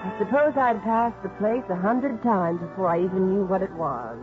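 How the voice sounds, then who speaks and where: An actress playing a kindly social worker, narrating a 1950s radio drama in a wistful, expressive way. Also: female voice, medium pitch, normal speed, over loud music, natural voice. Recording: muffled 1950s radio broadcast.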